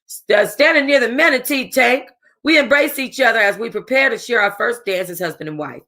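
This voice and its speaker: A woman's voice: fairly high pitch, a little fast, pushed and bright. A woman reading a novel aloud for a book club, stumbling over words, rereading lines and breaking off to chat casually with her listeners. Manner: casual, chatty asides to listeners.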